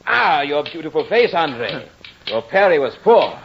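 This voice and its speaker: An actor playing a proud, battle-hardened soldier in old radio horror drama, with formal, theatrical diction. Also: male voice, fairly high pitch, very animated, measured pace, vocal fry, a little hiss or hum, exaggerated voice. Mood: taunting. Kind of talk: 1940s-50s radio horror drama acting. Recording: muffled old-time radio recording with music.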